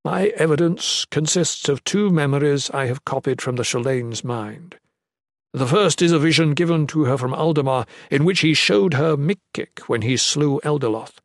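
A man reading the part of a stern, earnest fantasy mage in a formal, deliberate manner. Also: a little high, dry recording, studio quality, close to an everyday voice. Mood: solemn, firm. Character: stern, earnest male mage, pleading and warning. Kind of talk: fantasy audiobook character reading.

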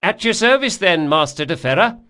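A man's voice: high-pitched, very animated, moderate pace, pushed and bright, pitched above the performer's own voice, heavily acted. A man voicing a blunt, chatty working boatman in an audiobook, colloquial and opinionated. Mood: obliging. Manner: colloquial, dropped words, exclamatory.

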